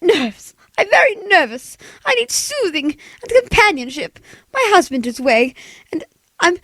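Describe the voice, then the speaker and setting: An actress playing a frightened, demanding invalid wife alone at night in a radio thriller, with an imperious, nervous delivery. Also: female voice, very high voice, very animated, a little slow, a bit bright, no room sound, exaggerated voice. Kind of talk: old-time radio thriller acting.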